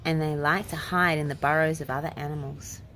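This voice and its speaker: A woman's voice: sits low, fairly even intonation, fairly quick, fairly bright tone, slight background noise. A woman reading a children's picture book aloud as narrator. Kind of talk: storybook read-aloud narration.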